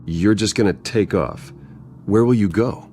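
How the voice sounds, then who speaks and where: An actor playing a brooding, composed, loyal wealthy businessman, speaking in a measured, earnest way for a video game. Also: on the low side, dark tone, a little hiss or hum, close to an everyday voice. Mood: guarded. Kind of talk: dramatic video game acting.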